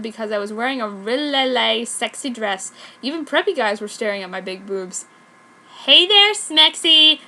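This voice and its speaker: High, bright-voiced woman reading fanfic. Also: slight background noise, low-quality recording. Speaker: fan fiction reader, narrator. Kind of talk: mock-serious dramatic story narration.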